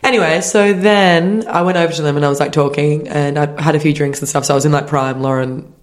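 A woman's voice: deep voice, brisk. Young Australian female podcast co-host, giggly and conversational, spinning casual anecdotes with quoted dialogue. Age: young adult. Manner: giggly, animated storytelling with frequent quoted dialogue. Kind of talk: casual chat, telling anecdotes.

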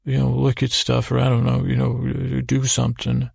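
A man hosting a sleep podcast with a meandering, halting bedtime monologue, repeating words and trailing off in a creaky, gravelly voice. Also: medium pitch, monotone, a little mellow, no echo, studio quality. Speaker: podcast host. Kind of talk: rambling bedtime monologue to help listeners sleep.

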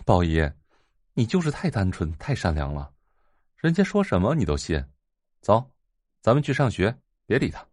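A man's voice: lowish voice, huge pitch swings, moderate pace, pauses often, darkish tone, dry recording, studio quality. Man acting sneering, arrogant young man. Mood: fond exasperation. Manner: sneering, mocking. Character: scornful, arrogant teenage boy, protective of girl.

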